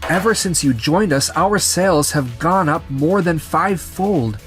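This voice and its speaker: Man acting humble, higher-pitched young boss. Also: expressive, unhurried, fairly bright tone, soft background music. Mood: grateful. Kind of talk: scripted manga dub acting.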